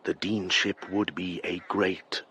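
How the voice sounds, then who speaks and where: A man's voice: deep voice, steady tone, slow pace, pushed and bright, slight background noise, heavily acted. An actor playing an ambitious, covetous English clergyman, calculating and self-important in a radio drama monologue. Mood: covetous, scheming.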